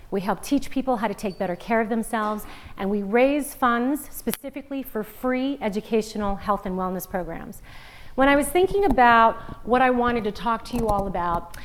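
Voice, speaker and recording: A woman's voice: a little high, a little hiss or hum. A middle-aged woman from Singapore, giving a speech at a university about her foundation and her family's journey. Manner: earnest, storytelling delivery. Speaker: guest speaker, founder of a women's education forum. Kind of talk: prepared talk to a student audience. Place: university lecture room.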